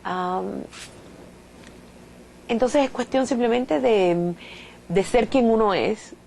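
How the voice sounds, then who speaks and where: A woman's voice: on the high side, takes it slowly, creaky, darkish tone, a little hiss or hum, poor audio. A middle-aged Cuban woman professor answering interview questions thoughtfully, with restarts and rhetorical questions, in a Cuban accent. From Cuba.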